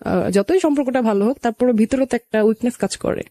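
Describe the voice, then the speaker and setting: A woman's voice: on the high side, quick pace, warm tone, no room sound. A young woman with a Bangladeshi accent, telling a personal story conversationally in an interview, slipping into remembered dialogue. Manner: conversational, quotes past dialogue as she narrates. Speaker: guest telling own life story. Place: radio studio.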